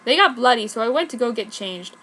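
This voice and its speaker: High, bright-voiced woman reading fanfic. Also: expressive, brisk, a little hiss or hum. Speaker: fan fiction reader, narrator. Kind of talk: mock-serious dramatic story narration.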